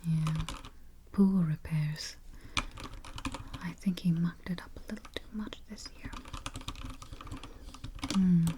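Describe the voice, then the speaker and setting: A woman doing a soft, breathy, mostly whispered ASMR roleplay as a politely morbid vampire travel agent, murmuring with dry understatement close to the microphone. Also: lowish voice. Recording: faint hiss, close keyboard typing and clicks. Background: typing, clicking and gentle tapping.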